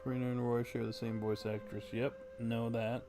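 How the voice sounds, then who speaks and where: A man's voice: mid-range voice, flat delivery, moderate pace. A man giving casual commentary while livestreaming a game. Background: game music.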